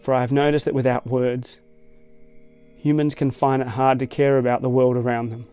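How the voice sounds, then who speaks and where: A man from Tasmania with an Australian accent, reading a reflective scripted essay in a measured, thoughtful way. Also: mid-range voice, smooth, faint music behind. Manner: measured, thoughtful, even-toned. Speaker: essay narrator.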